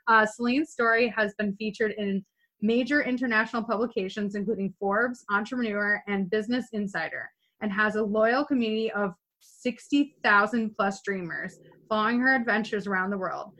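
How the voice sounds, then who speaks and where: A woman's voice: mid-range voice, normal speed, fairly bright tone, poor audio. A woman hosting a podcast interview, chatty and upbeat, in her thirties. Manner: chatty, upbeat, restarts words. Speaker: podcast host.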